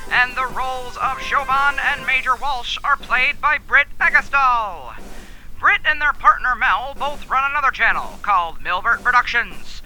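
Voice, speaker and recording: A male announcer reading end credits with clear, deliberate diction. His voice is very high and sharply bright, and faint music plays underneath. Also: low-quality recording.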